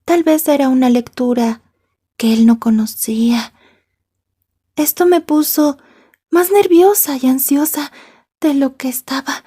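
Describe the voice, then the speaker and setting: A woman playing a possessive, jealous yandere schoolgirl in an ASMR roleplay, soft and breathy, taunting and slipping into whispers. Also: sits high, a little slow, long pauses. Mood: jealous, menacing.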